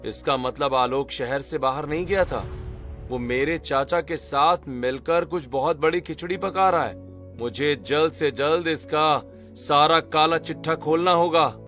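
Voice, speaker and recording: A man's voice: on the high side, measured pace, fairly bright tone, faint music behind. A man playing an earnest young rich son-in-law in a dramatic, emphatic cartoon story style. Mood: suspicious. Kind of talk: Hindi cartoon story acting and narration.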